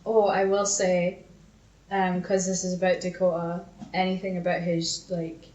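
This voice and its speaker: A woman's voice: on the low side, monotone, a little slow, a little mellow. A woman co-hosting a podcast, chatting casually and reading out old messages in a sweary, self-mocking way. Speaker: podcast co-host. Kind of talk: casual chat and dramatic reading of old arguments. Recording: dull, echoey, far from the mic.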